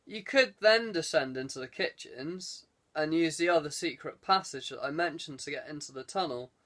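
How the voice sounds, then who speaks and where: A man's voice: a little high, normal speed, fairly bright tone, close to an everyday voice. A man voicing a fussy, protective castle guide who explains things cautiously in an audiobook adventure. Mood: explanatory.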